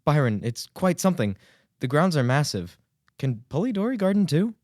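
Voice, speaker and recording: A man acting a conciliatory, high-minded poet and husband in a period radio drama, with a formal, placating manner. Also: sing-song, normal speed, darkish tone, dry recording, clean studio sound.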